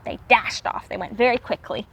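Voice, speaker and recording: A woman teacher reading aloud to young children, pausing to explain words simply and repeating herself for clarity. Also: on the high side, fairly even intonation, creaky, fairly bright tone, rough recording.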